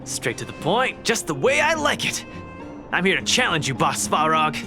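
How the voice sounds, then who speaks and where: Cheerful young fighter, very animated. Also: male voice, fairly high pitch, normal speed, music in the background. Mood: eager, confident. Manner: upbeat, breezy, eager. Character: cheerful, cocky, earnest young male fighter. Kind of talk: video game voice acting.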